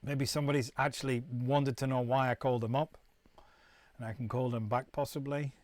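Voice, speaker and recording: A man's voice: mid-range voice, steady tone, brisk, a little mellow, no room sound. Man from the UK, middle-aged, casual live stream host, rambling, dry room. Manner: rambling, repeats words and restarts often. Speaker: musician hosting a live stream.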